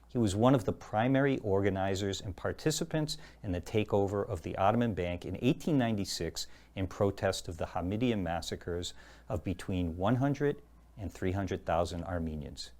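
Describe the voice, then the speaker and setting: Low-voiced man hosting a heritage show. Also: a little mellow. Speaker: host. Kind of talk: scripted presentation and interview.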